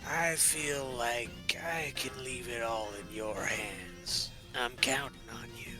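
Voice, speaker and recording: A man's voice: medium pitch, slow pace, close to an everyday voice. A man in a game fan dub playing a weary, solemn older detective ready to retire. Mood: solemn, apologetic. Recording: music clearly behind the voice.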